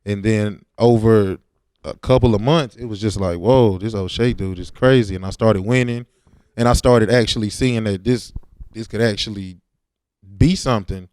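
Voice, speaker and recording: A man's voice: fairly low pitch, moderate pace, darkish tone, clear, resonant tone, no room sound. A laid-back man, talking casually and with slang as a rapper in an interview.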